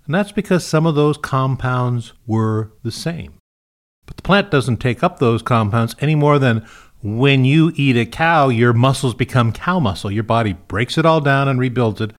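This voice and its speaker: A man's voice: medium pitch, lively intonation, normal speed. A man narrating a scripted science podcast as its host. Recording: music behind the voice.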